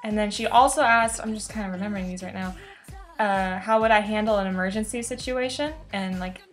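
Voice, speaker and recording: A woman's voice: mid-range voice, steady tone, fairly quick, soft background music. A woman around twenty chatting casually to camera as a student vlogger, in an informal, rambling way, often interrupting herself. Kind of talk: casual chat to camera recounting an experience.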